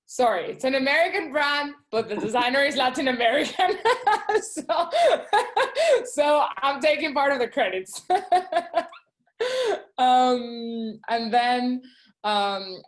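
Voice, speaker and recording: A woman presents her design work to schoolchildren over a video call. She sounds eager, pausing on fillers and checking in with "okay?" as she goes. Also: on the high side. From Venezuela. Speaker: guest speaker, shoe designer.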